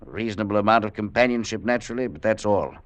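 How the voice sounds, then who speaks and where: An actor playing a brusque, coldly logical, misanthropic professor in an old-time radio drama, with clipped, imperious delivery. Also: male voice, fairly low pitch, racing, dry recording, natural voice. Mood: coldly dismissive. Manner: clipped, blunt, imperious, dismissive.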